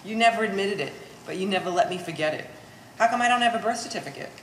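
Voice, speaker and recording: A woman's voice: medium pitch, lively intonation, quick pace, fairly bright tone, lightly acted. A woman playing a resentful, bookish adult daughter in a stage play, speaking with wordy sarcasm. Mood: bitter. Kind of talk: live stage play acting. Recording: echoey hall, distant mic, faint noise.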